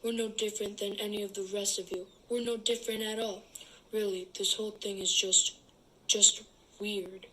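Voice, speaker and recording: A woman's voice: mid-range voice, level tone, normal speed, sharp tone, close to an everyday voice, low-quality recording. A woman reading aloud the part of an accused suburban man, defensive and bewildered, in a dramatic play reading. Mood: pleading. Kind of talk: dramatic read-aloud of a teleplay.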